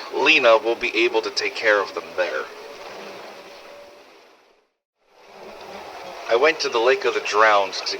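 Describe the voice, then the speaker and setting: A man playing a weary, sardonic, foul-mouthed survivor of a zombie apocalypse, recording expressive first-person audio logs in a game-style drama. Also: medium pitch, a little hiss or hum, low-quality recording. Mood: matter-of-fact. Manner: sardonic, expressive, emphatic swearing.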